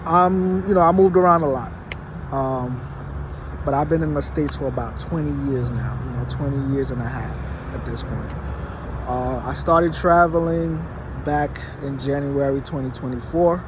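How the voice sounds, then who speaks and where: A man's voice: medium pitch, moderate pace. A man in his late twenties, born in Haiti, talking casually to his phone in a vlog with many fillers and restarts. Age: late 20s. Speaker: vlogger. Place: parking lot at night, outdoors. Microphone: phone propped against a wall. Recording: noisy outdoor phone recording. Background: traffic and car noise.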